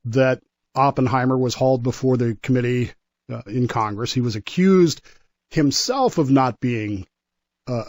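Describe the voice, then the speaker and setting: An American man talking through history as a radio commentator, explaining in a measured way and often repeating himself haltingly. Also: mid-range voice, no room sound, clean studio sound. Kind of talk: conversational radio discussion.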